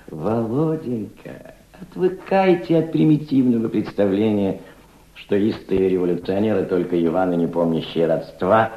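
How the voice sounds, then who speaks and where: An actor playing a proud, cultured middle-aged aristocrat turned revolutionary diplomat, reflective, oratorical and fatherly, in a radio drama. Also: deep voice. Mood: earnest, admonishing. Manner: reflective, oratorical, fatherly toward a young listener. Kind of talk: Soviet radio drama acting. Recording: dull, roomy old radio play recording.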